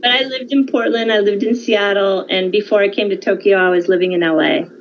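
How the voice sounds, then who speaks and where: A woman talks in a measured, informative way, pausing often with um and uh as she explains. Her voice sits at a middle pitch and comes over a dull, lo-fi remote line with a faint hiss behind it. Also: fairly even intonation. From California, United States. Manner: measured, informative, with frequent um and uh pauses. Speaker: guest. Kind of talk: conversational interview.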